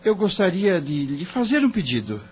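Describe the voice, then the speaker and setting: An actor playing an earnest, nervous and humble young lawyer, polite and tentative, in an audio drama. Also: male voice, sits high, very animated, normal speed, slight background noise. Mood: earnest, respectful. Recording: dull lo-fi audio. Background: music.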